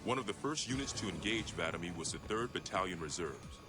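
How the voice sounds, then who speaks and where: A man playing a stern military intelligence officer, reading a formal dossier in a measured, grave manner as scripted game narration. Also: sits low, animated. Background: prominent music bed.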